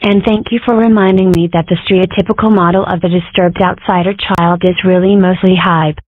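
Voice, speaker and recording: A woman's voice: fairly low pitch, fairly even intonation, fairly quick, no room sound, close to an everyday voice. Woman playing a formal psychiatrist, phone-like sound. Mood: reassuring. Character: courteous, reassuring school psychiatrist, grown woman. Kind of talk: comic strip dub. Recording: muffled, phone-like narrow sound.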